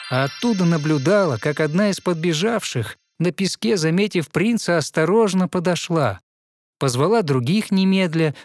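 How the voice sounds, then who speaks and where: A man narrating a fairy tale in verse for an audio play, with measured, rhythmic recitation. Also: fairly high pitch, dry recording, clean studio sound.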